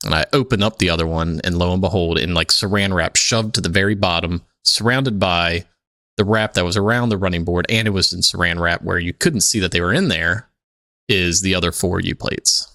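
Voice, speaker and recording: A man with a low voice chats casually as a podcast host. He rambles through his stories with loose, salty phrasing. The sound is close and dry. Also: a little fast, pushed and bright, no room sound. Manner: rambling, profane, peppered with "like". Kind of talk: casual chat, anecdotal storytelling.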